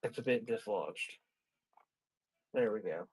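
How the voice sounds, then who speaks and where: A man hosting a casual live sales stream, rambling and thinking out loud in a scattered, conversational way. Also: lowish voice, slow pace, background noise. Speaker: live-stream seller hosting a sale and unboxing.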